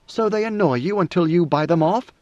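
A man's voice: high voice, animated, fairly quick, a little mellow, no room sound, voice pushed up. A man voicing a blunt, plain-spoken male commander of a royal guard. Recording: dry, slightly muffled recording.

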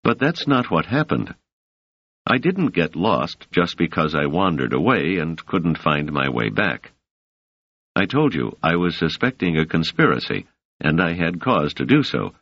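A man's voice: low voice, big pitch swings, a little slow, husky, no echo, full character voice. A man reading an audiobook voices a defensive, tired male scholar in close to his own voice. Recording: dull, dry, lo-fi sound.

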